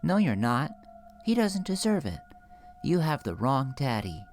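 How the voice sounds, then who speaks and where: A man's voice: mid-range voice, big pitch swings, unhurried, faint music behind, pitched above the performer's own voice. A man voicing a small, earnest, stubborn young girl in a horror audio drama, with a plain, childlike manner. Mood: defiant.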